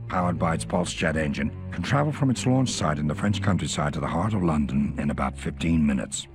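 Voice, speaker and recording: Wartime intelligence officer, low-pitched, measured and concerned, game briefing, music behind. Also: male voice. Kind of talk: scripted video game mission briefing. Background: background music.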